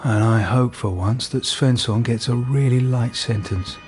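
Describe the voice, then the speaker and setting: An actor playing a patient, considerate male police detective who questions gently and in measured words in a radio drama. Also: medium pitch, loud music behind the voice, close to an everyday voice. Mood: sympathetic. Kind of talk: naturalistic radio drama acting.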